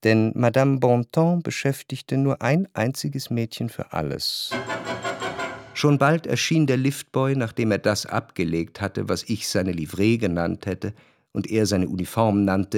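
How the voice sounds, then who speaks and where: An actor playing a reflective, refined gentleman narrator in a radio drama, measured, precise and gently ironic. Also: lowish voice, expressive, vocal fry. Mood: reflective, wry.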